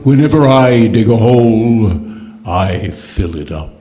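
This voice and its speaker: A man's voice: fairly low pitch, lively intonation, a little slow, warm tone. A man playing a genteel, morbid but friendly undertaker with a mannered, droll delivery, in old-time radio comedy style.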